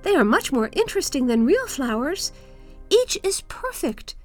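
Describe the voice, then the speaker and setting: A woman plays a peevish, excitable little boy from a storybook. The voice is pitched high and swoops around, emphatic and a bit scornful. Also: normal speed, faint music behind. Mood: eager, excited. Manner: emphatic, exclaiming.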